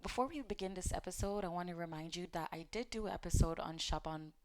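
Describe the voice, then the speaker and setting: A woman hosting a relaxed religious teaching talk, speaking straight to her viewers in a conversational, encouraging way, with fillers and self-corrections along the way. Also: lowish voice, quick pace. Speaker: host of an Islamic teaching channel.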